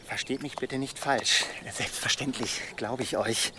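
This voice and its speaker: An actor in a German radio drama playing a wary, hesitant medieval travelling merchant. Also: male voice, mid-range voice, steady tone, measured pace, background noise, natural voice. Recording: clear outdoor ambience with birds.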